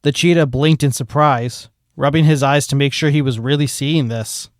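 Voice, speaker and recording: A man narrating a fan fiction story in a steady, matter-of-fact manner. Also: medium pitch, moderate pace, dry recording, studio quality.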